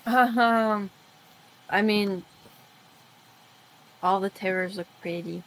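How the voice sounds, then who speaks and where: A woman's voice: mid-range voice, very slow, fairly bright tone, slight background noise, low-quality recording. A young woman co-hosting a reaction video, chiming in with brief, playful remarks. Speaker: co-host. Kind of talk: casual reaction chat.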